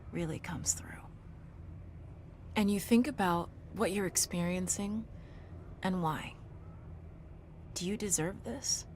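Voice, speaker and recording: Female narrator for a reflective monologue. Mid-range pitch, earnest and deliberate delivery, short dramatic phrasing. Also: a little hiss or hum.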